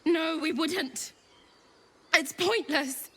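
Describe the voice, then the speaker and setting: An actress playing a grief-stricken, furious young woman in a video game, desperate, bitter and forceful. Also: very high-pitched, drawn-out words, heavily acted. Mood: anguished, furious. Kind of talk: video game character acting.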